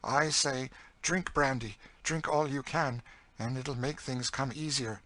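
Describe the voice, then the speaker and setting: A man's voice: medium pitch, a little slow, pushed and bright, voice pushed up. A man voicing a bitter, despairing, world-weary enslaved woman in an audiobook, with a dry, emphatic delivery. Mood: bitter, impassioned. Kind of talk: dramatised audiobook reading of a classic novel.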